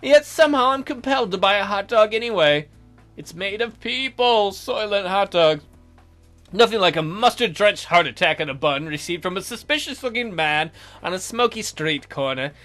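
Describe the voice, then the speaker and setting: A man's voice: high voice, animated, normal speed, fairly bright tone, faint music behind. A man hosting a let's play, reading a visual novel aloud in a theatrical, jokey way with asides.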